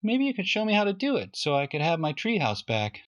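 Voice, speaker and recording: A man's voice: sits high, huge pitch swings, fairly quick, fairly bright tone, voice pushed up, full character voice. A man playing a glum, plaintive young boy. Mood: resigned. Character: Johnny, glum young boy, slyly warning. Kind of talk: children's storybook reading.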